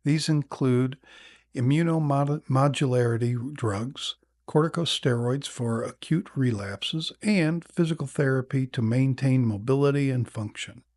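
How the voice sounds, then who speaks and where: A man playing a calm, attentive neurologist in an acted exam-practice consultation, explaining in a measured way with small hesitations. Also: mid-range voice, no echo, clean studio sound, close to an everyday voice. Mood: serious, explanatory. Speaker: narrator and actor in a listening exam practice.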